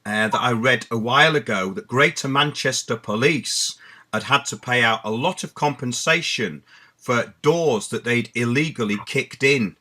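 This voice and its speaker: A man's voice: medium pitch, moderate pace, pushed and bright. A man hosting an interview, talking in an emphatic, assertive way. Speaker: interviewer and show host. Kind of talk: interview talk.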